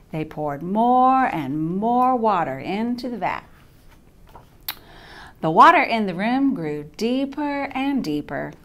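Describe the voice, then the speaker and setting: An older woman reading a children's bedtime story aloud in an expressive, deliberate way, with dramatic emphasis. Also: fairly high pitch. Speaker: storybook reader, childcare coordinator. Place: small indoor set with curtain backdrop.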